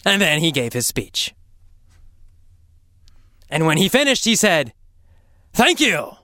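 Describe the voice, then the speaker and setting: A middle-aged man who teaches English, telling a simple story to learners slowly and with lots of repetition. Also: on the high side, no room sound, studio quality. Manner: slow, animated, with heavy repetition for learners.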